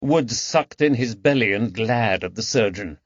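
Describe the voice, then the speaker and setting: A man's voice: medium pitch, expressive, a little slow, dry recording, highs cut off. A man reading scripted narration of a mystery novel as an audiobook narrator.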